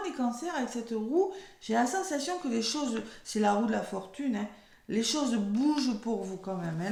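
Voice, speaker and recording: A French woman who is losing her voice, speaking softly and hoarsely as she gives a weekly tarot card reading, slowing down when reading cards aloud. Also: fairly high pitch, roomy sound. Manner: soft-spoken, slow and measured when reading cards aloud.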